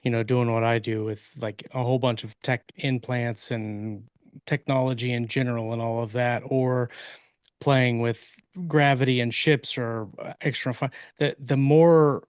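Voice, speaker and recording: A man co-hosting a casual discussion, explaining his points in a halting, searching way. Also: mid-range voice, fairly even intonation, clear, resonant tone, dry recording, clean studio sound. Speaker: podcast co-host and author.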